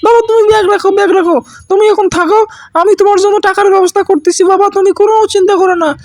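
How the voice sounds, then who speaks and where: A man's voice: extremely high pitch, fairly even intonation, racing, dry recording, studio quality, voice pushed up. A man voicing a doting, anxious mother in a comedy cartoon, pleading and gushing with endearments.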